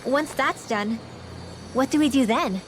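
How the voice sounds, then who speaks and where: A woman's voice: sits high, unhurried, a little hiss or hum, rough recording. A woman playing a sensitive, hesitant teenage girl mage in a fantasy video game cutscene. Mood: questioning. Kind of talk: English dubbed video game cutscene acting.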